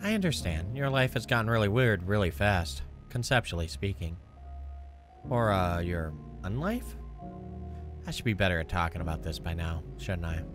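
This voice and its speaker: Male playthrough narrator, low register, expressive read of story-game dialogue, clear music bed underneath. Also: normal speed. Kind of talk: expressive read-aloud of visual novel dialogue. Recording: music behind the voice.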